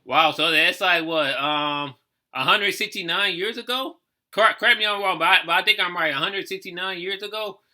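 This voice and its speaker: A man giving casual, off-the-cuff reaction commentary as host, thinking aloud with halting, repeated phrases. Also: sits high, pushed and bright.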